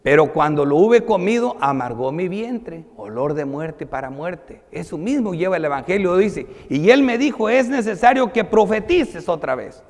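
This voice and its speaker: A man preaching a sermon as a pastor, reading and explaining scripture with emphatic delivery that moves between declaiming and quieter asides. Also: high-pitched, animated, normal speed, hardly any pauses. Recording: long hall reverb.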